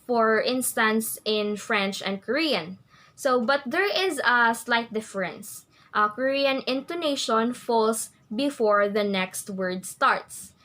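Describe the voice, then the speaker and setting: A woman's voice: on the high side, a bit bright. A young woman reading her part of a class report from prepared text. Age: young adult. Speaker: student presenter.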